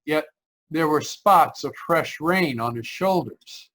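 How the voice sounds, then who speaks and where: A man's voice: a little high, lively intonation, slow, fairly bright tone, heavily acted. Man acting a haunted, anxious radio-drama driver. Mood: brooding, uneasy. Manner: tense, earnest, dramatic storytelling. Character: haunted, anxious male driver narrating his road trip. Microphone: video call. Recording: live video-call performance.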